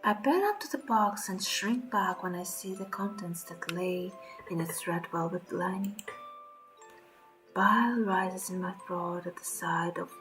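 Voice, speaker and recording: A woman streamer reading horror game narration aloud in a dramatic, breathy storytelling way, with short reactions of her own. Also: lowish voice, a little slow, fairly bright tone, rough recording. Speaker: streamer playing a horror visual novel. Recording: background music under the voice.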